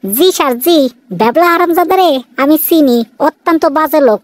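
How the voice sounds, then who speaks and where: High-pitched deferential policewoman, acted by a woman. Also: expressive, moderate pace, noisy background. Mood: deferential, explaining. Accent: Bangladeshi. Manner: respectful, chatty, explanatory. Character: deferential, inquisitive single female police sub-inspector. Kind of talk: cartoon dialogue.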